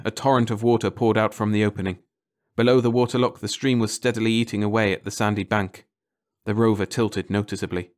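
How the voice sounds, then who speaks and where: A man reading a scripted story as an audiobook narrator. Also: fairly low pitch.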